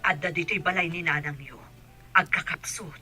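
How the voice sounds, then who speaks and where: A woman's voice: sits low, normal speed, pushed and bright, breathy edge, faint music behind, heavily acted. A woman playing a frank older aunt in a radio drama, admonishing in a concerned way.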